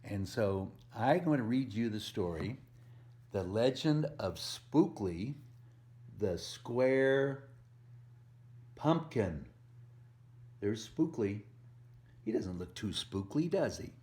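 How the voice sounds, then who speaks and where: A man's voice: lowish voice, expressive, takes it slowly, long pauses, a little mellow. A man reading a picture book aloud to preschoolers as a volunteer storyteller, stressing the rhymes and adding simple asides and questions for the young listeners. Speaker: volunteer children's story reader.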